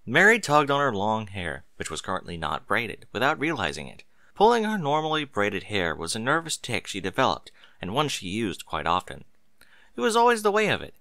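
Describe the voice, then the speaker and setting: A man reading a story aloud as narrator, in an expressive scripted style. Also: fairly low pitch, a little fast, no room sound, clean studio sound.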